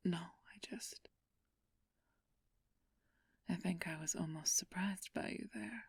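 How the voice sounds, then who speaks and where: A woman playing a flirtatious, haughty ancient vampire thief in a whispered ASMR roleplay, teasing and condescending with a drawn-out purr. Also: on the low side, dry recording, full character voice. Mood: weakened, composed. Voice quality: breathy whisper.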